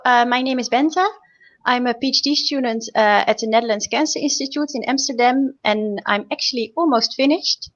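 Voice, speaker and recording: A young woman speaking as a guest researcher on an online panel, explaining informally with a halting delivery. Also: on the high side, fairly bright tone. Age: young adult. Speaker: guest, PhD student researcher. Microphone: video call. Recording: dull, lo-fi video call audio.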